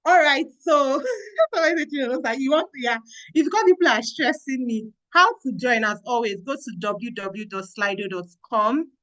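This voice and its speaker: High, lively Nigerian woman moderator. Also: moderate pace, poor audio. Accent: Nigerian English. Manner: lively and exclamatory, coaching the audience. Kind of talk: moderating an online training session.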